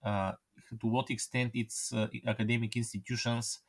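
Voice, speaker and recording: A male interviewer with a mid-range voice asks his guest questions in a polite, measured way. He sets up each question carefully before posing it. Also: medium pitch, level tone. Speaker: interviewer, host.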